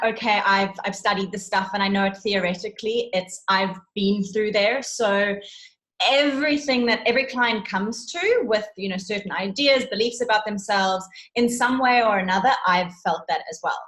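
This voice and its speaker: A woman's voice: medium pitch, moderate pace, a bit bright. A woman with a South African accent explaining her work as a coach in an earnest, emphatic, conversational way. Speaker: interview guest, self-love and confidence coach.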